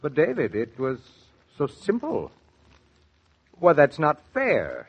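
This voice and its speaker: A man's voice: on the high side, wildly swooping pitch, takes it slowly, slightly rough, dull audio, heavily acted. A man playing a kindly, persuasive family friend and colleague in a 1940s radio drama. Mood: surprised.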